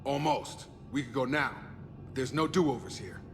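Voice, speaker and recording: A man's voice: mid-range voice, measured pace, creaky, long reverb tail, music in the background. A man playing a frank, loyal soldier in a sci-fi video game, laconic and plain-spoken. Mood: focused. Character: frank, loyal adult male soldier ally.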